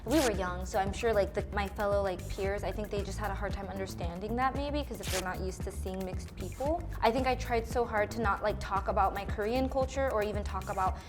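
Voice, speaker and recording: A woman's voice: medium pitch, brisk, hardly any pauses, over music. A young American woman chatting casually and expressively about herself, with a bubbly, giggly manner. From United States, suburban Seattle area. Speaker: guest in a paired conversation video. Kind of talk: casual chat, personal storytelling.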